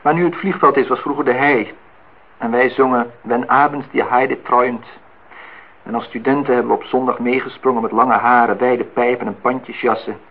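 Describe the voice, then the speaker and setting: Casting: middle-aged gentleman played by a man, husky, dryly needling and nostalgic, old radio-play sound through a narrow band. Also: medium pitch, steady tone, moderate pace, lightly acted. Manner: formal address, dry teasing. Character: older well-off man, nostalgic, needling, courting host. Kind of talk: Dutch radio play acting. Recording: muffled, phone-like old radio recording.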